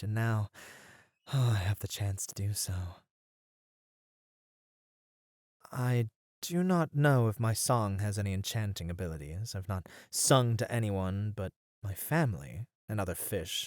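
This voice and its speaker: A man's voice: fairly low pitch, no echo, studio quality, natural voice. Man acting a courteous, secretly predatory merman siren. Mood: shy, hopeful. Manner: soft, courteous, formal, halting speech. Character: male merman siren, feigning gentle innocence, secretly predatory. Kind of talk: ASMR roleplay acting.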